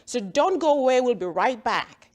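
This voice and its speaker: A woman from Jamaica with a Jamaican accent, a physician hosting a health talk show, friendly and upbeat and quick to laugh. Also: on the high side, sing-song, noisy background. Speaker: host, physician.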